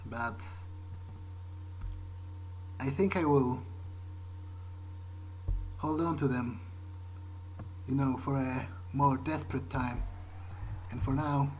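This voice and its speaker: Man as pragmatic new vampire in a game, mid-pitched, unhurried and hesitant, faint game ambience behind. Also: a little hiss or hum, close to an everyday voice, rough recording. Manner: hesitant, halting musing. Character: newly turned male vampire, wary, pragmatic, musing. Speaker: gamer role-playing his character. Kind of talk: in-character gameplay thinking aloud.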